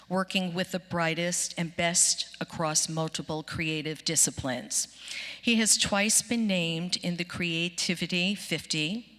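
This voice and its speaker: A woman hosting an event, formally introducing speakers from prepared notes in a measured way. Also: fairly low pitch, pushed and bright. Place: large auditorium stage. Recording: echoing auditorium with long reverb.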